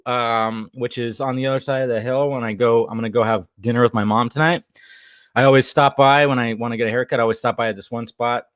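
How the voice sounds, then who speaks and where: A young man with a hoarse voice, chatting casually and rambling to his live stream viewers from home. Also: mid-range voice, fairly bright tone, dry recording, clean studio sound. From Southern California. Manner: rambling, chatty, reads chat aloud, laughs often.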